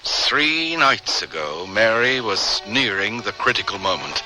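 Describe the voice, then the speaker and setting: An actor playing a grieving, devoted scientist husband, solemn and theatrical in old-time radio drama style. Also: male voice, on the low side, sing-song, bright, forceful tone, soft background music, heavily acted, poor audio. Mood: solemn recollection. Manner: theatrical, halting, emotional delivery.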